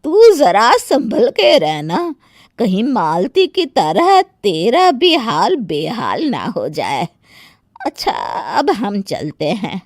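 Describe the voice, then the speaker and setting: A gossiping middle-aged busybody voiced by a woman in a dramatised moral story. She is mock-shocked and ominous, drawing out her insinuations slowly with wide swings in pitch. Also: huge pitch swings, a little mellow, no echo, studio quality, full character voice. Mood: warning.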